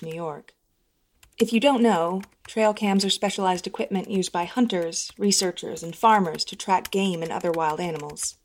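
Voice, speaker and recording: A woman's voice: on the low side, a little mellow, natural voice. A woman narrating a first-person horror story as a troubled woman confessing a haunting memory. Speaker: guest narrator.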